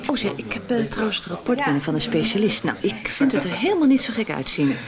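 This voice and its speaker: A woman's voice: mid-range voice, very animated, quick pace. A woman acting a chatty, caring doctor and family friend in a radio soap. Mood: friendly, caring. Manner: chatty, solicitous. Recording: loud crowd chatter behind.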